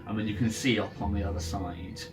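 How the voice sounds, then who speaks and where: A man running a tabletop game, narrating off the cuff in a halting, thinking-aloud way. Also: on the low side, steady tone. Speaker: game master. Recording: music playing behind. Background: ambient music.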